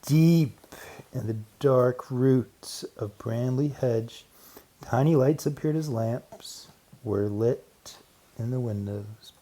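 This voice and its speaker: A man narrating a children's storybook aloud as an audiobook, with deliberate, dramatic pauses and emphasis. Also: mid-range voice, dark tone.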